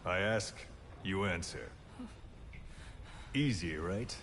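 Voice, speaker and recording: A deep slow game villain interrogator, calm and cruel, taunting, bit of background noise. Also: male voice, heavily acted. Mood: cold taunting. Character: menacing male military interrogator, calm, sadistic, taunting. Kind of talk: video game voice acting.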